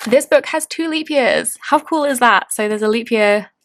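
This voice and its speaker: A woman's voice: fairly high pitch, moderate pace, fairly bright tone, a little hiss or hum. Bubbly rambling woman in her late twenties. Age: 20s. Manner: bubbly, rambling, self-interrupting. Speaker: planner and tarot vlogger. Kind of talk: casual chatty show-and-tell.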